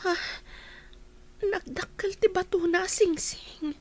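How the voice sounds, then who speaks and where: A woman's voice: very high-pitched, fairly even intonation, slow. An actress with an Ilocano Filipino accent playing a sweet young woman being courted in a radio drama. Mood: moved, hesitant.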